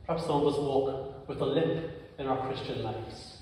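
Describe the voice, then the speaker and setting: A young clergyman from Northern Ireland who has a stammer, preaching an earnest, personal sermon with slow, weighty pauses in a church sanctuary. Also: mid-range voice, a little mellow. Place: large church sanctuary, indoors. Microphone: far camera. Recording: echoey church from far off.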